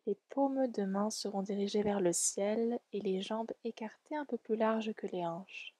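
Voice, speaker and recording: A woman leading a guided relaxation meditation in a soft, soothing, gentle and unhurried way. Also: medium pitch, dry recording. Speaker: yoga nidra guide.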